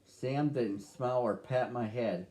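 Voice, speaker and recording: A man reading a rhyming picture book aloud to children, with a slow, sing-song rhythm. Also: mid-range voice, dark tone, rough recording. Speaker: storybook reader.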